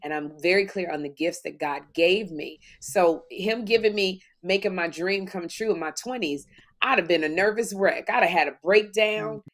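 A woman in her fifties with a low, deep voice talks in a lively, casual way. She tells her stories with energy and slips into acted-out quotes as she goes. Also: normal speed. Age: 50s. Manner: animated storytelling, acts out quotes. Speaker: podcast co-host. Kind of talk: casual faith-filled chat.

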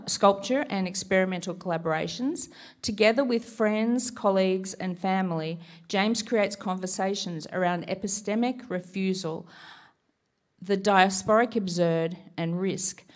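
A middle-aged woman with an Australian accent, moderating a panel on stage and reading a guest's introduction from notes. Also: mid-range voice. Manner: measured, reads from notes. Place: stage before an audience. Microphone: handheld mic.

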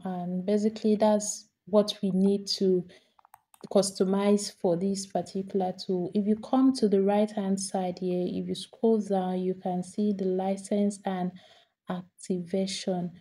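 Mellow-voiced woman, level and unhurried, walking viewers through a computer setup one click at a time. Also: medium pitch. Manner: steady step-by-step instruction, repeats each step. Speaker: tutorial host. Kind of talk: screen-recorded software tutorial.

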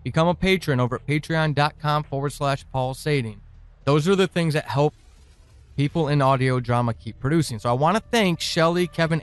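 A man hosting a podcast, speaking informally and earnestly in deliberate phrases as he addresses his listeners. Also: fairly high pitch, smooth, no echo. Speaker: podcast creator and host. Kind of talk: informal listener thanks and announcements.

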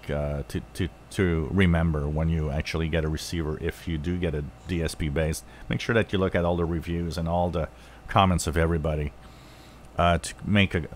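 A man hosting a hobby review, explaining things unscripted in halting short phrases, as if thinking aloud. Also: deep voice, slight background noise. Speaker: channel host reviewing radios.

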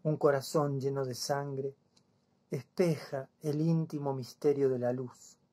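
A man in his fifties with a Buenos Aires accent reads verse in a soft-edged, dark tone. His delivery is very slow and solemn, with long silences between phrases. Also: mid-range voice, fairly even intonation. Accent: Buenos Aires Spanish. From Buenos Aires province, Argentina. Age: 50s. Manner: deliberate, with long pauses. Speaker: poet. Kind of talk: solemn poetry reading.

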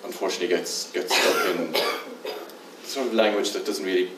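A man's voice: deep voice, steady tone, fairly quick, heavy background noise. An older man moderating a poetry panel, stumbling over and restarting his questions. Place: stage in a dim theatre hall. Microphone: far camera. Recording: echoey hall from far off.

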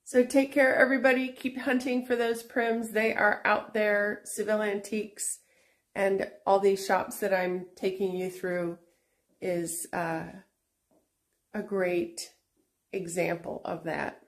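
A middle-aged woman hosting a casual vlog while showing her antique shop booth, talking in an unhurried, chatty way with fillers and restarts. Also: medium pitch, little pitch movement. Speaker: antique shop owner and channel host.

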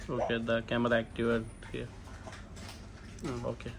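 A man with a medium-pitched voice presents a technical walkthrough as a non-native English speaker. He talks in clipped, halting instructions and repeats okay often. The phone recording has clear room noise behind him. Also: monotone. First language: not English. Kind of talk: hands-on product setup walkthrough. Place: indoor shop or office.

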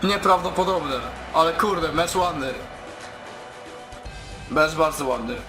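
A high-pitched male gamer commentates his match live as it unfolds. He talks in exclamations, repeating names and cries. Music plays clearly behind him. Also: slow pace. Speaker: gaming YouTuber. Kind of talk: excited live gameplay commentary.

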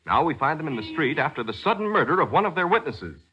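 A man working as a 1940s radio announcer, reading a script with emphatic, salesmanlike delivery and a husky voice. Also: on the high side, very animated, quick pace, bright, forceful tone. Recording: dull, lo-fi 1940s radio recording.

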